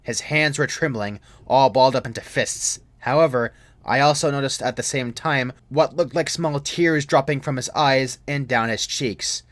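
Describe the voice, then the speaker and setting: A young adult man reading a scripted creepypasta in a measured, serious storytelling manner. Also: mid-range voice, fairly bright tone. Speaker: narrator.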